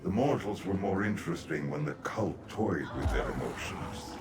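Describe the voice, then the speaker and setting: Game oddity: low, detached, ponderous, echoing and distant. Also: male voice, animated, normal speed, very noisy. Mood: regretful. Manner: detached, ponderous, speaks of himself in third person. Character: brooding, detached male being speaking in third person. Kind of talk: fantasy video game acting.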